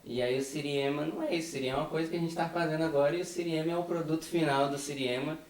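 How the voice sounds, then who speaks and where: A man's voice: fairly high pitch, fairly even intonation, racing. A young Brazilian man, an undergraduate, chatting casually about being in a student theatre group. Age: young adult. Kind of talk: casual chat. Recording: roomy, slightly distant mic.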